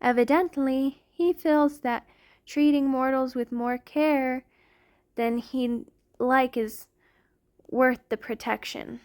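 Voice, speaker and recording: A woman plays an ancient vampire noblewoman with a fairly high voice. She speaks to her lover in genteel, formal phrases, affectionate and tender, in an intimate ASMR roleplay. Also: fairly even intonation, slow, a little mellow. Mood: genteel, troubled. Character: tender, aristocratic centuries-old female vampire lover.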